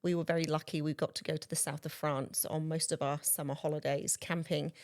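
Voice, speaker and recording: A woman in her forties from Bristol with an English accent, a guest chatting with high energy on a podcast. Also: little pitch movement, fairly quick. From Bristol, England. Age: 40s. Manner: upbeat, high-energy, laughs often. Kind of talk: casual interview chat.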